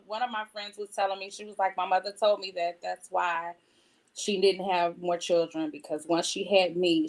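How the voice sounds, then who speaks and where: A woman's voice: lowish voice, low-quality recording. A woman, an author being interviewed, chatting casually and telling anecdotes by acting out what other people said.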